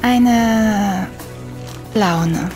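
A woman playing a cool, capricious assassin in a radio play, speaking in halting, clipped fragments. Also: medium pitch, music in the background. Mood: drowsy, detached.

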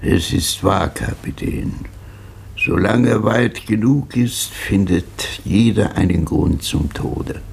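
An actor playing a world-weary, gloomy tavern philosopher with a ponderous, melancholy delivery in a radio drama. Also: male voice, sits low, takes it slowly, creaky, exaggerated voice. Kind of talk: 1960s German radio drama acting.

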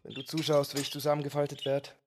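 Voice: medium pitch, monotone, quick pace, close to an everyday voice. An actor voicing a resigned, put-upon young figure in a radio drama. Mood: resigned, quiet. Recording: clear clinking noise behind voice.

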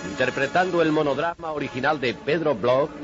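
A man announcing radio-theatre credits in a slow, solemn and formal style. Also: fairly high pitch. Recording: muffled old radio recording, loud music.

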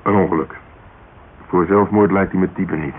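An actor playing a wry, cynical police detective in a radio drama, speaking colloquial in an offhand way. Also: male voice, low-pitched, fairly even intonation, normal speed, lightly acted. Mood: wry, persuasive. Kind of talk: Dutch radio drama dialogue. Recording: dull, hissy old radio recording.

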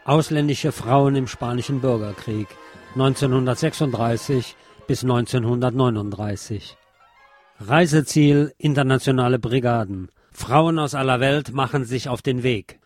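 A man's voice: medium pitch, moderate pace, faint music behind. A man reading a scripted history documentary as narrator. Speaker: narrator of a history radio series.

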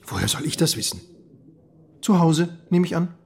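East german police boss for radio drama, raspy, bit high, officious, dodgy, brushes you off stiffly. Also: male voice, big pitch swings, moderate pace, full character voice. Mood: dismissive. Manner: hemming, then stiff and dismissive. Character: evasive, officious East German police chief, feigning calm. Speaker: head of district police office.

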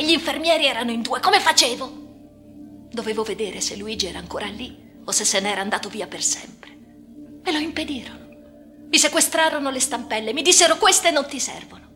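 A woman's voice: medium pitch, close to an everyday voice. Actress as intense, troubled young woman over music. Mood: agitated, angry. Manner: intense, swinging between hushed and agitated. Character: troubled, defiant young woman recounting her hospital confinement. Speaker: radio drama actress. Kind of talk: dramatic first-person radio monologue. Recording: music under the voice.

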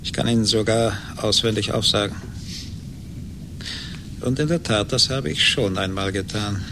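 An actor playing a cool, smug schemer in a German radio play, measured and self-assured. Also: male voice. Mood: cool, gloating. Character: cool, smug, scheming man who ruined a former friend. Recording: noisy, rumbling 1980s radio play recording.

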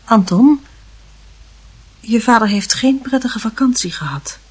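A mother in a radio play, very husky and breathy. She talks to her young son with concern and gentle admonishment. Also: fairly high pitch, expressive, unhurried. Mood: admonishing. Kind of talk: radio drama acting.

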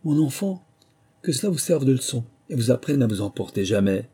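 A man's voice: medium pitch, sing-song, a little fast, mellow, husky, pitched above the performer's own voice. A man voicing an old father crab in a storybook, preachy and moralising. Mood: admonishing.

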